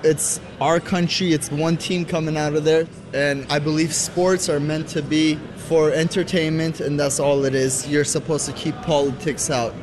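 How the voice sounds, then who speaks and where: A man's voice: fairly high pitch, level tone, normal speed, few pauses, noisy background. A man speaking in a firm, confident way as a fan. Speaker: soccer fan.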